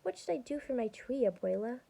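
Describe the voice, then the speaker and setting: A woman's voice: fairly high pitch, animated, brisk, gravelly, mellow. A woman voicing an earnest, worried little girl in a storybook, plaintive and questioning.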